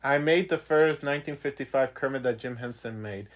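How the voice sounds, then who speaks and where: A man's voice: mid-range voice, fairly quick. A young man from Puerto Rico, a puppeteer, chatting casually on a phone livestream with many fillers. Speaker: puppeteer vlogger. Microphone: phone on a ring light.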